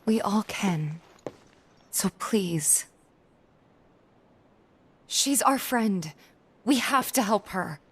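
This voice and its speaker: An actress playing a caring young woman and loyal friend in a video game, speaking softly and hesitantly in a husky voice. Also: medium pitch, lively intonation, drawn-out words, long pauses, heavily acted. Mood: pleading. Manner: hesitant, pleading. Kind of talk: dramatic video game cutscene acting.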